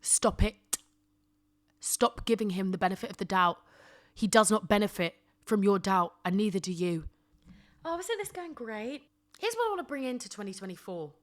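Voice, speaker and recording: A woman's voice: medium pitch, expressive, normal speed. A woman in her twenties chatting casually as a solo podcast host, emphatic and sassy, often repeating phrases for comic effect. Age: 20s. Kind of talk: casual solo chat with humorous rants.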